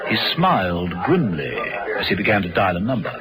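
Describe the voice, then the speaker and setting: British male radio narrator, lively intonation. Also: deep voice, a little fast, over a noisy crowd, poor audio. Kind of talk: scripted radio drama narration. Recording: vintage radio broadcast, music behind.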